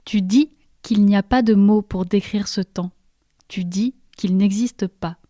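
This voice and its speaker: A woman reciting poetic script in a deliberate, solemn manner. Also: medium pitch, mellow, slight background noise.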